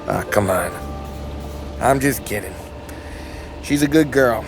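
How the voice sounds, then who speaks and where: Hot-headed american 1930s gangster, slangy wisecracker, game cutscene, music blaring behind him. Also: male voice, mid-range voice, lively intonation, slow pace, creaky. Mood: teasing. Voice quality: streetwise slangy speech. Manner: brash, teasing. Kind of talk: video game cutscene acting.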